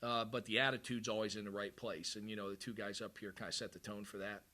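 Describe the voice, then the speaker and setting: A man's voice: fairly low pitch, level tone, quick pace, sharp tone. A middle-aged man, a head coach, giving candid, rambling postgame press conference answers with frequent restarts.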